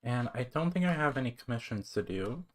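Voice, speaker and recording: A man's voice: medium pitch, lively intonation, fairly quick, a little hiss or hum. A man livestreaming a game with casual, slangy, chatty commentary.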